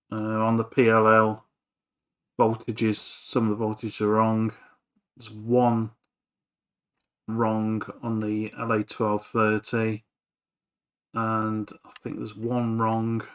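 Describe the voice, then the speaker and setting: A man casually talking through a repair in a workshop, hesitant, thinking aloud with frequent pauses. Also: lowish voice, fairly bright tone. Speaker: electronics hobbyist and repair vlogger. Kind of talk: casual workbench talk-through. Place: home workshop.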